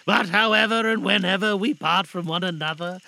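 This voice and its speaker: A man's voice: high-pitched, normal speed, gravelly, pushed and bright, full character voice. A man voicing a cheerful, tender-hearted working-class father fighting back grief, earnest and affectionate, in a dramatised storybook reading. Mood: tender, solemn.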